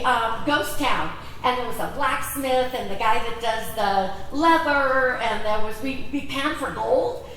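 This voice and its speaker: High-voiced woman in an echoey hall. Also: a bit bright, recorded from a distance, a little hiss or hum. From Greece. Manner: chatty, asks the audience questions. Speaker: convention speaker. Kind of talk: presentation to an audience. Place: large convention hall.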